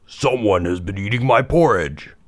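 Man playing a huge father bear, storybook, gruff, booming, indignant, animated delivery. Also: moderate pace, dry recording, clean studio sound, lightly acted. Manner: booming, crying out. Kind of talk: children's storybook character voice.

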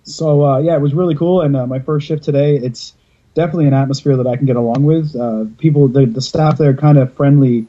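Rambling man, dark muffled sound. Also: mid-range voice, fairly even intonation, fast. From Canada. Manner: rambling, joking, many fillers and restarts. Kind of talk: casual chat.

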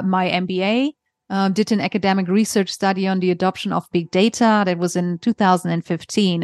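A woman in her forties from Germany with a German accent, speaking as a guest in an interview in a relaxed, chatty way with frequent fillers. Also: lowish voice, dry recording. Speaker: guest author. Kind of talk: conversational interview.

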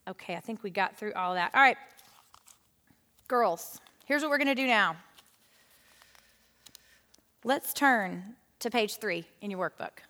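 A woman's voice: medium pitch, a little slow, long pauses. Chatty woman teaching Bible study. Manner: animated, chatty, with frequent fillers. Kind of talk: lively teaching talk to a women's Bible study group. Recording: hall with long reverb.

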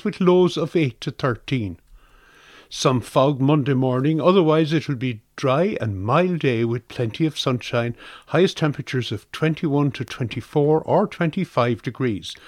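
Higher-pitched Irish man reading weather forecast. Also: no echo, studio quality. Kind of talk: scripted radio weather forecast.

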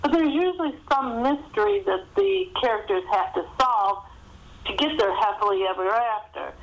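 A woman's voice: on the high side, moderate pace, lo-fi sound. A middle-aged woman talking conversationally as an author in an interview, chatty and anecdotal, often saying "you know".

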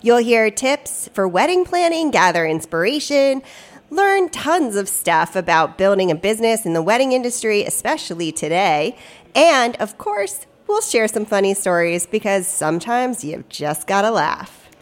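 A woman's voice: medium pitch, very animated, normal speed. Woman, podcast host, upbeat, chatty, slightly stuffy nose. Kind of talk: casual podcast chat. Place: hotel studio.

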